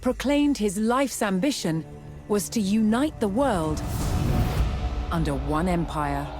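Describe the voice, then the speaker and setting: Slow, measured woman narrator over music. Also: mid-range voice, expressive. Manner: measured, dramatic storytelling. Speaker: documentary narrator. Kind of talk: scripted documentary narration. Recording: music under the voice. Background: background music.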